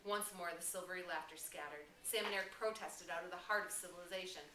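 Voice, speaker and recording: A woman reading a novel aloud as dramatic, expressive audiobook narration. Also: on the low side, fast talker. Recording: roomy, distant mic, faint noise.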